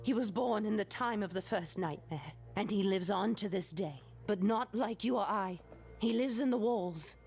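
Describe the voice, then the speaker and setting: A woman's voice: medium pitch, normal speed, vocal fry, a little mellow, slightly rough, faint music behind. A woman voicing a brusque, eerie townswoman in a video game, theatrical and ominous. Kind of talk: video game character acting.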